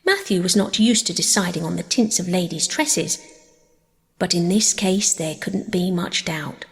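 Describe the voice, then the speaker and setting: A woman's voice: lowish voice, unhurried, in a large hall. A woman reading an audiobook as a scripted bedtime story narrator.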